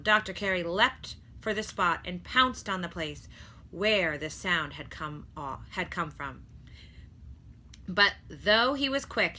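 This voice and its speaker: A woman reading a children's story aloud in an expressive narrating style, like a teacher to pupils. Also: lowish voice.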